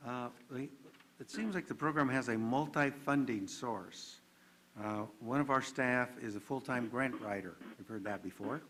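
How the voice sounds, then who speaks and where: A man moderating a panel discussion, relaxed, passing on questions and reading out answers. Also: mid-range voice, moderate pace, slight background noise.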